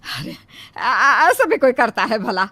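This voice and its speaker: A woman's voice: fairly high pitch, very animated, measured pace, bright, forceful tone, full character voice. A woman voicing a worried, nagging mother-in-law who scolds and frets protectively in a cartoon moral story. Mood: scolding, concerned. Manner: scolding, exclaiming, fussing.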